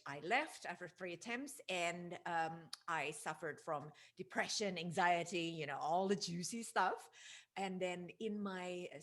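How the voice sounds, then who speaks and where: A middle-aged woman from Indonesia hosting a casual podcast interview, enthusiastic and quick to laugh. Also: fairly low pitch. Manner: enthusiastic, laughs often, warm affirmations. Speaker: podcast host, relationship coach.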